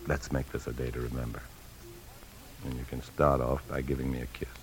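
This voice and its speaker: An actor playing an anxious, evasive husband on the run in a 1950s radio drama, smooth-talking and guarded, who also narrates confessionally. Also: male voice, rumbling low, little pitch movement, fairly quick, noisy background. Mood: soothing, evasive. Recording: 1950s radio broadcast, faint music.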